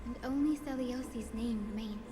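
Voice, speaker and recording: A woman voicing a gentle, hopeful young healer in a fantasy video game, speaking softly and sincerely. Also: fairly high pitch, moderate pace, close to an everyday voice. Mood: earnest, solemn. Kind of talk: scripted game voice acting. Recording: long reverb under loud game music.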